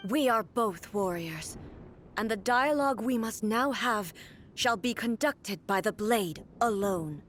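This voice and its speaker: A woman playing a solemn, regal warrior deity in a video game, speaking in a grave, formal, measured manner. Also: medium pitch, expressive, a bit bright. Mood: stern, challenging. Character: solemn, regal female warrior deity and her stern puppet double. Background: game music.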